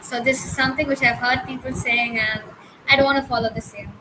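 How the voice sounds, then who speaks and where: A woman giving conversational answers as a panelist, recorded with clear background noise. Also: high-pitched, steady tone, brisk. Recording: clearly noisy line with ambient noise.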